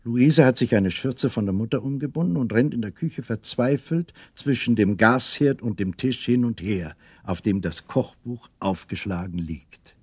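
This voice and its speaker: A man narrating a children's radio play as a storyteller, in a measured, deliberate manner. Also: medium pitch, darkish tone, breathy edge, no room sound, lightly acted. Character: calm male storyteller addressing young listeners.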